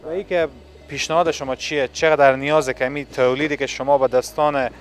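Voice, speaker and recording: A man's voice: medium pitch, steady tone. A man, working as a television reporter and interviewing on location with a handheld microphone in a large factory hall.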